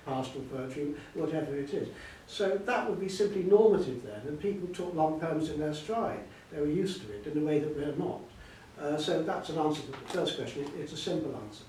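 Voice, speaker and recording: A man's voice: a little high, darkish tone. An older man, a scholar being interviewed, explains at length in a discursive, emphatic way, repeating words for stress. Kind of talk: extended spoken explanation at a book event. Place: indoor event hall. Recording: echoey hall, distant mic, faint noise.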